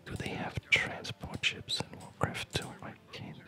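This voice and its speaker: A person whispering breathy, unhurried ASMR commentary while playing a video game, musing softly aloud. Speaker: video gamer narrating own play. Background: music.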